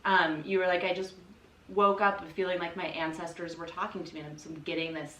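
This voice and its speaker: A woman's voice: fairly low pitch, level tone, brisk, sharp tone. An American woman co-hosting a casual podcast conversation, asking questions and reflecting in an informal, empathetic way. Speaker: podcast co-host, interviewer. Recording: echoey room, distant mic, faint noise.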